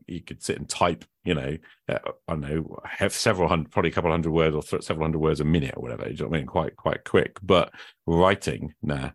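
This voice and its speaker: Very deep-voiced man hosting a chat. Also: no room sound. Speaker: podcast host. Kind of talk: casual conversation about hobby gaming.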